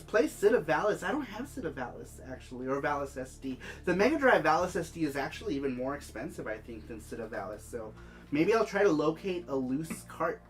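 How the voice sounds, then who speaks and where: A livestream host with a head cold and congested, stuffy-nosed sound, chatting casually and reading out viewer comments. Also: medium pitch. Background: background music.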